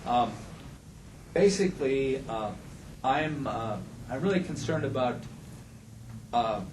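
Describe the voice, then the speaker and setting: Male audience questioner, mid pitch, halting, faint background noise. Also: lively intonation, a little mellow, low-quality recording. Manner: halting, opinionated. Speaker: audience member asking a question.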